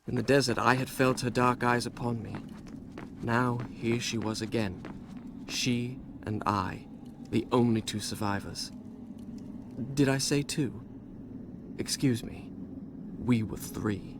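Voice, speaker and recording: Haunted, higher-voiced young storyteller prince. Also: male voice, steady tone, takes it slowly, background noise. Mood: wistful. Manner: formal, theatrical, brooding. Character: haunted, proud young prince telling his tale. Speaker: protagonist and narrator. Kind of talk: dramatic storytelling narration in a video game. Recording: game music behind the voice.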